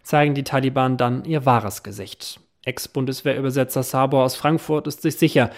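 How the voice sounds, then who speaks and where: A man reading a scripted radio news report in a measured, even way. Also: medium pitch. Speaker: news presenter.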